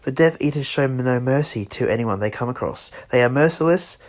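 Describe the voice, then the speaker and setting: A man narrating an amateur scripted emergency broadcast, halting and correcting himself as he reads. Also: medium pitch. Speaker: radio emergency announcer in a fan-made scenario.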